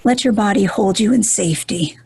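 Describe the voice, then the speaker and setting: A woman leading a guided meditation in a soft, breathy voice, gentle and soothing, with deliberate pauses. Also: medium pitch.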